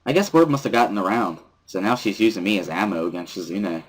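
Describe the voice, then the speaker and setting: A man reading first-person story narration from a visual novel as a dramatic reading, with a husky voice. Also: fairly low pitch, fast talker, poor audio.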